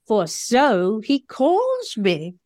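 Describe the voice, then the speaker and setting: A woman's voice: a little high, wildly swooping pitch, a little mellow, no echo, clean studio sound, heavily acted. A woman playing an earnest, theatrical female show dog who wants to act, in an audio play. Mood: dramatic. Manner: theatrical, drawn-out.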